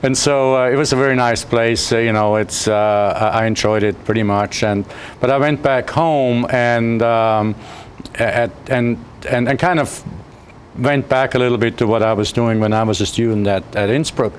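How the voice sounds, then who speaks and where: A man in his fifties with an Austrian accent, a physics professor answering interview questions haltingly, with many fillers and restarts. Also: mid-range voice, fairly even intonation, slight background noise.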